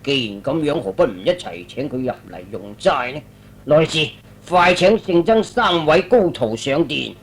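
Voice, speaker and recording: A man's voice: a little high, sing-song, moderate pace, breathy edge, pitched below the performer's own voice, full character voice. A man playing a courteous but timid, fussy king in a Cantonese radio drama, speaking formally. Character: courteous but easily frightened middle-aged king.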